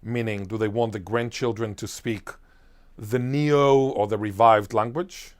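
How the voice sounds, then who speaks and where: A man, a Hebrew speaker, answering as a linguist guest in an interview, emphatic and animated, full of lists and rhetorical questions. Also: on the low side, unhurried. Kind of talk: enthusiastic explanatory interview answer.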